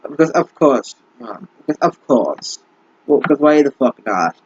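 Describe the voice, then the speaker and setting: Brief: young man, husky, slow, sarcastic and swearing, dull lo-fi close mic. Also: mid-range voice, big pitch swings, dry recording. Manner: sarcastic, exasperated, swears often. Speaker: fanfic reader and commentator. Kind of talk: mocking commentary on a bad story.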